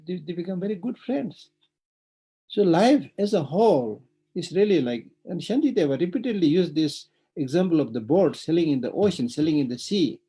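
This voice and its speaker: A man's voice: on the high side, warm tone, slightly rough. A man teaching Buddhism online, speaking earnestly, repeating phrases and often saying "you know". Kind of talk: dharma teaching.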